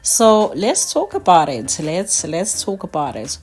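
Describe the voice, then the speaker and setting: A woman's voice: lowish voice, big pitch swings, a little slow, darkish tone, slightly rough. Chatty South African woman vlogging. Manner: chatty, emphatic, frequent "okay?" and "you know". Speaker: YouTuber. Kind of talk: casual opinion vlog.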